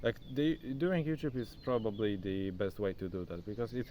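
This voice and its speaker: Young male vlogger, non-native English, lowish voice, loose chatty "bro" delivery, phone mic outside with faint insects. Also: animated, moderate pace, dark tone, slight background noise. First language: not English. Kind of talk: casual self-recorded vlog talk. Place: outdoors, rural spot near a forest. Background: faint insects and outdoor nature sounds.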